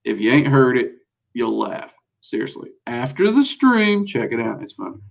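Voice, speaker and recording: A man's voice: medium pitch, very animated, normal speed, vocal fry. A man with a Southern US accent hosting a casual livestream, answering viewers in a rambling, folksy way with lots of fillers. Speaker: livestream host teaching magic.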